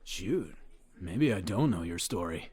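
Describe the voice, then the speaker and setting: Man as cocky restaurant slacker, highly animated. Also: measured pace, husky, heavily acted. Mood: flippant. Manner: brash, flippant, exaggerated. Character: self-important, oblivious, cowardly wisecracking restaurant worker. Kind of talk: comedic radio play acting.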